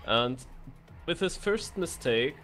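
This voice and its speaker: A man's voice: a little high, lively intonation, takes it slowly, fairly bright tone. A man giving conversational live commentary as a co-caster on an esports racing game. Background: music.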